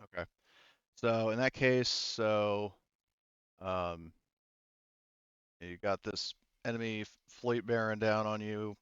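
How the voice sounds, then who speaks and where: A man's voice: fairly low pitch, fairly even intonation, slow, pauses often, fairly bright tone, smooth, no room sound, dull audio. A man working as game master, narrating a tabletop game casually and informally, often thinking aloud.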